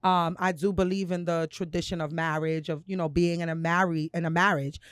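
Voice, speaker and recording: Candid woman in her thirties, low and dry. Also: fairly even intonation, fairly quick, smooth, no echo. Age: 30s. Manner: candid and drawling, with frequent laughs. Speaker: podcast co-host. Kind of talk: casual chat.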